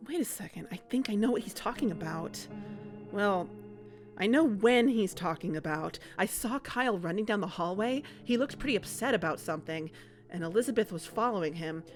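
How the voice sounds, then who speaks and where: A woman's voice: medium pitch, lively intonation, moderate pace, faint music behind, natural voice. An actress playing a concerned, observant young woman investigator in a naturalistic audio drama. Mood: thoughtful.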